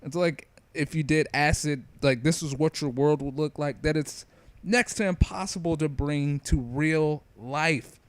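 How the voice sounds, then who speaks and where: A man's voice: a little high, a little slow, smooth, no echo. A man giving casual, opinionated review commentary in an informal, slangy, conversational way. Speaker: video creator giving commentary.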